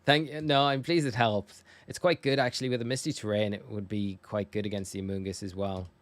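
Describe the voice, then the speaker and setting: A man's voice: fairly low pitch, fairly even intonation, a little fast, dry recording. A man from northeast England with a northern English accent, chatting casually and informally to viewers as a live game streamer. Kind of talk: casual livestream chat while gaming.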